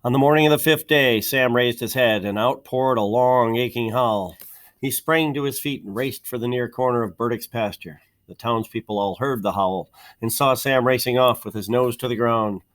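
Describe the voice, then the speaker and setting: A man reading a children's picture book aloud in an expressive, measured storytelling manner, stressing key words. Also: medium pitch. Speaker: storybook reader.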